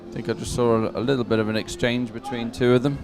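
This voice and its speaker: A man's voice: medium pitch, little pitch movement, fast talker, music in the background. A man giving conversational live commentary on a sporting event as a co-commentator.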